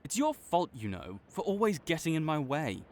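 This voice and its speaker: Man acting a grudging, animated supervillain rival. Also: a little high, normal speed. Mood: defensive. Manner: tsundere, grudging, exasperated, stammering when sincere. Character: proud, flustered male supervillain with a secret soft spot. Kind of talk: dramatic audio roleplay addressed to the listener.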